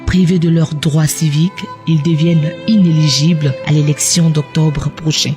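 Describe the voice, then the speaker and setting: A man's voice: on the high side, fairly even intonation, normal speed, a little mellow, faint music behind. A man reading scripted narration for a news documentary in a formal reporting style.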